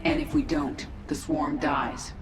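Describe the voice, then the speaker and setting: A woman's voice: sits low, level tone, unhurried. A ruthless queen of an alien swarm in a sci-fi game. She speaks with menace and command. The voice echoes, set back from the mic over music. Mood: cold, determined. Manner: imperious, menacing. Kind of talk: scripted sci-fi game voice acting. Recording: echoey, distant, music underneath.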